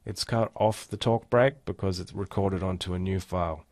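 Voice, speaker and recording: A man giving a casual, step-by-step software walkthrough as an instructor. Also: sits low, normal speed, dry recording. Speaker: tutorial instructor. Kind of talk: screen-recorded software tutorial, casual walkthrough.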